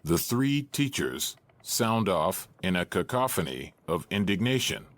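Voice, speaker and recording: A man's voice: deep voice, big pitch swings, a little slow. A man narrating the stage directions of an audio drama in a detached, matter-of-fact manner.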